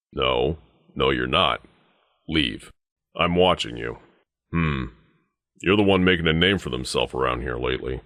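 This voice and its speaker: A man voicing a gruff, menacing and suspicious guard sergeant in a video game, curt, commanding and dryly sardonic. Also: extremely low pitch, fairly bright tone, dry recording, studio quality. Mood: stern, threatening. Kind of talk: scripted game dialogue.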